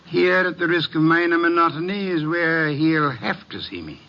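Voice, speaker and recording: An actor playing a pompous, irritable older detective in a vintage radio drama, with formal and elaborate diction. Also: male voice, on the high side, expressive, moderate pace, pushed and bright, slight background noise. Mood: haughty, stern. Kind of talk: 1940s-50s radio detective acting. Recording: dull vintage radio recording.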